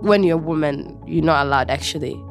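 A woman's voice: deep voice, fairly quick, vocal fry, music in the background. A young woman from Somalia, a student speaking in a studio interview, telling her story casually with many fillers. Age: young adult.

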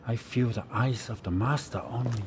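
An actor playing a man who relives his frightened boyhood, narrating a radio drama in measured, spare phrases. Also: mid-range voice, noisy background, close to an everyday voice. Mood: tense dread.

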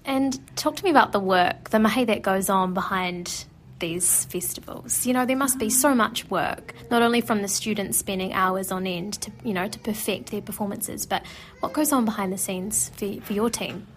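Woman radio host, mid-pitched, relaxed and prompting. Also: normal speed, few pauses. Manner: conversational, prompting questions. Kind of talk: radio interview.

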